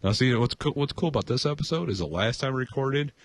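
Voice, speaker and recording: A man's voice: mid-range voice, animated, fast talker, dry recording, clean studio sound. A man co-hosting a podcast in casual comedic banter, with a playful, jokey manner and loose, profane talk. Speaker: podcast co-host.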